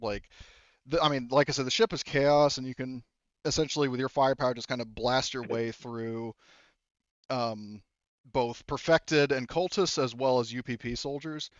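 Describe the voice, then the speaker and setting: A man's voice: medium pitch, steady tone, no room sound, dull audio. Dry-sounding man narrating a tabletop game. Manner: halting, informal, swears freely. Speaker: game master.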